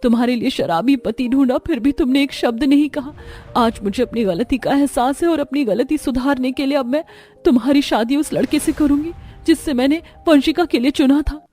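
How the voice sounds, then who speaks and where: A woman's voice: high voice, normal speed, mellow, voice pushed up, natural voice. Woman acting a melodramatic middle-aged scheming stepmother. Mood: remorseful, tender. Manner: melodramatic, defensive and pleading. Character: middle-aged scheming stepmother, doting on her own daughter.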